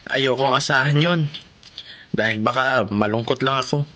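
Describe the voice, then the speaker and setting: A man's voice: mid-range voice, normal speed, sharp tone, muffled recording, close to an everyday voice. An actor playing a polite, humble young working man in a radio drama, respectful and earnest in his delivery. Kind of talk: Filipino radio drama acting.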